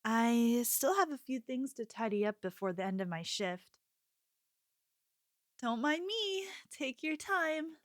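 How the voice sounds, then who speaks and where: A woman playing a sweet, attentive young convenience store clerk in an ASMR roleplay, speaking softly with a gentle, hesitant manner. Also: fairly high pitch, very animated, takes it slowly, no echo. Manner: gentle, apologetic, hesitant, solicitous.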